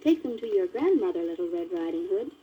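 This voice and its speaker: A man voicing a caring mother in a high falsetto, giving careful instructions on an old story record. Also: very animated, a little fast, narrow, tinny audio, slight background noise. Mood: instructive. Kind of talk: 1948 children's story record.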